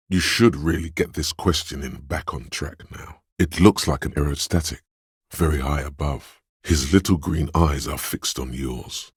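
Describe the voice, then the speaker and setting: An actor playing a man's calm, wry inner sense of perception in a game, calmly pointing out details and addressing the listener as "you". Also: extremely low pitch, husky, dry recording, clean studio sound, full character voice. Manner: measured, noticing details in second person. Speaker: voice actor for a game's inner skill voice. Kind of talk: scripted game voice lines.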